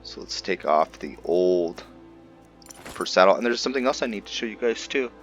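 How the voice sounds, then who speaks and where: A man's voice: lowish voice, lively intonation, moderate pace, soft background music. A man hosting a gameplay video, talking informally and thinking aloud to his viewers in casual roleplay commentary. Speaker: gaming video host.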